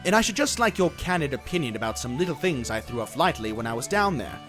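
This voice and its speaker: A man voicing a lazy, bashful gentleman dragon in a dramatized storybook, rambling on in genteel, eager, old-fashioned talk. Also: mid-range voice, sing-song, fast talker, faint music behind. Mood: eager, friendly. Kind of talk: dramatized storybook character acting.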